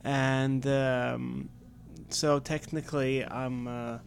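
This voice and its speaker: Man in his 20s, raised in Sweden, gravelly, hesitant, faint hiss behind. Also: medium pitch, flat delivery, drawn-out words. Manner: hesitant, many fillers. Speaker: camp staff guest. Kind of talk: casual interview.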